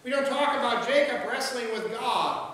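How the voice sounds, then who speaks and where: High-voiced pastor in an echoey church. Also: male voice, normal speed, a bit bright. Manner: conversational, repeats words as he goes. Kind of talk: sermon with jokes and quoted voices. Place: large church sanctuary, at a pulpit. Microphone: pulpit mic, filmed from a far camera. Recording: echoey hall, far-off mic, faint ambience.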